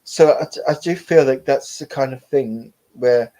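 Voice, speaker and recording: A man working as a medium, giving a live online reading in a gentle, rambling, reassuring way with frequent fillers and soft laughs. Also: mid-range voice, a little slow, rough recording.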